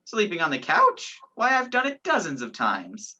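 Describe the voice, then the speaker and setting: A young woman playing a sceptical, gallant gentleman in an amateur radio play, with a theatrical, incredulous manner. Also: on the low side, huge pitch swings, moderate pace, heavily acted. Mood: reassuring. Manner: incredulous, theatrical, old-fashioned phrasing. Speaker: student actor in a school radio play. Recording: dull lo-fi home recording.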